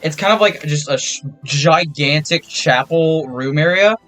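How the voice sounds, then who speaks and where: A man's voice: a little high, unhurried, fairly bright tone. A young man running a tabletop game as game master, describing scenes casually. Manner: casual, loose descriptions with fillers. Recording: faint background music.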